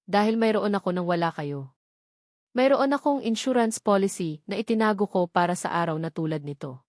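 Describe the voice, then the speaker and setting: A woman's voice: mid-range voice, animated, moderate pace, darkish tone, no echo, studio quality, lightly acted. A woman voicing a scorned, authoritative woman who is cold, bitter and vengeful in a narrated love story. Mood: angry, vengeful.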